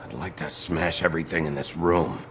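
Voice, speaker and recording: A man voicing a gruff, sullen video game detective with a short temper, gravelly and curt in a sardonic way. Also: rumbling low, expressive, normal speed, a bit bright, a little hiss or hum, heavily acted. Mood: angry, sardonic.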